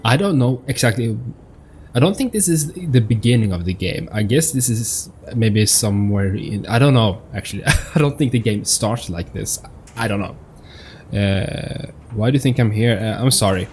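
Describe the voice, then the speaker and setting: Lowish-voiced man doing casual game commentary. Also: lively intonation, normal speed. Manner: musing, hesitant, reacts aloud. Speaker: gaming streamer. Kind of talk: casual let's play commentary. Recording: faint noise, game audio behind. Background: video game sound.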